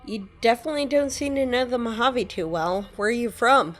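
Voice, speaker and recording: A woman's voice: a little high, brisk, a bit bright, soft background music, natural voice. A woman voicing an earnest young wanderer who asks a lot of questions, in a game dialogue. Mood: curious. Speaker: player character. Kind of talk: fan-voiced game dialogue.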